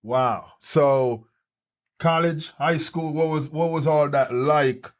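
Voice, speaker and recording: A man hosting a podcast in a studio, talking casually and energetically, often repeating quick words of agreement. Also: on the high side. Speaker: podcast host. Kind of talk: casual chat.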